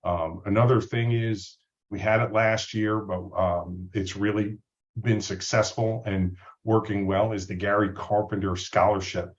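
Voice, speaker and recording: Guy with a lowish voice talking on a video call, upbeat, lots of ums, sound's kinda muffled. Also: steady tone, unhurried. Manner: enthusiastic, with frequent ums and uhs. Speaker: board president of an advisors' association. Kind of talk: casual webinar remarks. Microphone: audio only on a video call. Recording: dull lo-fi call audio.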